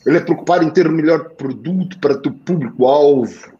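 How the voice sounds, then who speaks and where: Man, Portuguese accent, blunt slangy delivery, animated swings, slightly high pitch. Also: fairly quick, lo-fi sound. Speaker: sales coach for accountants. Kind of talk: live talk show advice.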